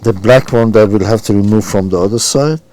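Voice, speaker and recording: A man's voice: fairly low pitch, brisk, darkish tone. A man giving an informal, unscripted step-by-step repair demonstration, talking hesitantly as he works with his hands. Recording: clear background noise, handling clicks.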